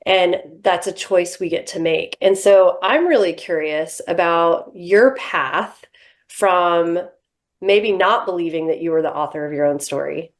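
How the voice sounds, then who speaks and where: Reflective woman interviewer, lowish voice. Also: unhurried. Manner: reflective, thoughtful. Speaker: podcast co-host. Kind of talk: interview questions.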